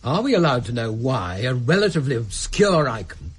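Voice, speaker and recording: A man's voice: mid-range voice, very animated, a little fast, husky. An actor playing a doubting, sardonic intelligence man in a radio drama. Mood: doubtful. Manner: dry, sardonic. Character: sceptical British intelligence man, dry and doubting. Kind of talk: radio drama briefing dialogue.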